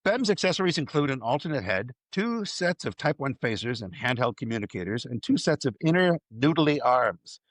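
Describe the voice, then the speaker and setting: A man with a mid-pitched voice that moves around with great animation as he hosts a podcast news segment. He is playful and enthusiastic, dropping wry asides into a partly scripted delivery. The sound is dry and close. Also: sing-song, a little fast, hardly any pauses, fairly bright tone, no room sound. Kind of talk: lively fan news presentation, partly scripted.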